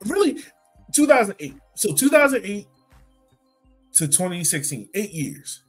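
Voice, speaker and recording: A man's voice: sits high, sing-song, measured pace, breathy edge, faint music behind. An American man co-hosting a casual sports podcast, talking colloquially and emphatically, repeating points for effect. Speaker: podcast co-host.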